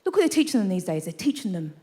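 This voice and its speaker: Lively chatty woman doing stand-up. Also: on the low side, rapid-fire. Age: 30s. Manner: chatty, self-deprecating, frequent "right?" asides. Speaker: stand-up comedian. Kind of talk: stand-up set. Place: live theatre stage with audience.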